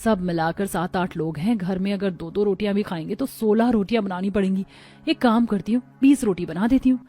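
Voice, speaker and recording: A woman's voice: mid-range voice, a little fast, dark tone, faint music behind, natural voice. A woman voicing a young, breezy, work-shy daughter and new bride in a cartoon. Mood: eager.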